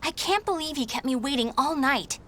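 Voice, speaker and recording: A woman's voice: high voice, lively intonation, moderate pace, a bit bright, slight background noise. A woman playing a feisty, stubborn young warrior in a video game story, speaking with a spirited, defiant manner. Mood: reflective narration. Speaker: protagonist and narrator of game story.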